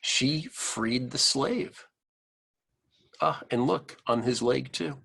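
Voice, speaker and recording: A man reading a picture book aloud to children, adding simple explanatory asides in an expressive manner. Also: medium pitch, takes it slowly, vocal fry, husky.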